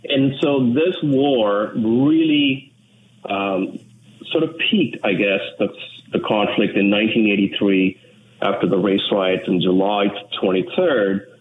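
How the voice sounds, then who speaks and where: A man from Sri Lanka, an English speaker, talking in an earnest, measured way as an interview guest. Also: on the low side. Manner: measured, earnest, with frequent restarts. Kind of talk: reflective interview talk. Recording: tinny phone line with faint noise.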